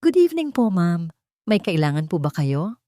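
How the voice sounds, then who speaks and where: A woman voicing a polite, eager young female executive assistant in a storybook drama, pitching her voice up. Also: big pitch swings, moderate pace, mellow, no echo, clean studio sound.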